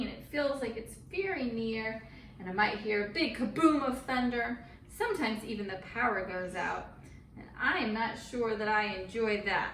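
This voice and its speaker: A woman's voice: fairly high pitch, fairly bright tone. A woman teaching children a Bible story in simple, encouraging words, with dramatic emphasis as she tells it. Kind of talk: animated storytelling and chat with children. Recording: roomy, distant mic, faint noise.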